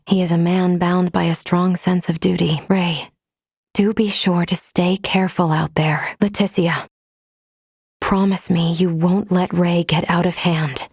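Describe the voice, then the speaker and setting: A woman voicing a composed, dutiful android crew member in a video game, speaking in a measured, formal, precise way. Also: lowish voice, darkish tone, husky, no room sound, studio quality. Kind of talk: scripted video game character lines.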